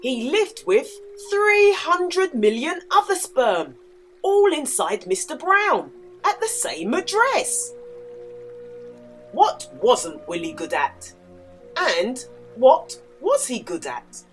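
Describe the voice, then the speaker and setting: A woman with a high voice reads to young children as a storyteller. Her delivery is slow and very animated, with exaggerated emphasis and careful pauses. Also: faint music behind. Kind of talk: expressive children's storybook read-aloud with quiz.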